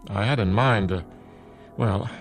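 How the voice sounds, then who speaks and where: An actor playing a patient, formal male doctor in a radio drama, speaking in a measured, tactful way. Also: on the low side, soft background music. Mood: calm, tactful.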